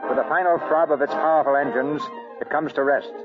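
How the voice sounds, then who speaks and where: A man's voice: a little high, fairly even intonation, quick pace. A man presenting a radio theatre play in formal, dramatic 1940s announcing style, reading scripted narration. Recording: dull 1940 radio recording, music behind.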